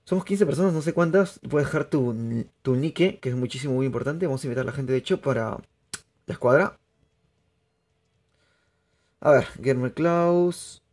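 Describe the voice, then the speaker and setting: A young man from Peru, chatting excitably to viewers through live gameplay commentary. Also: medium pitch, moderate pace, pauses often. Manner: chatty, excitable, laughs often, addresses viewers. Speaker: streamer.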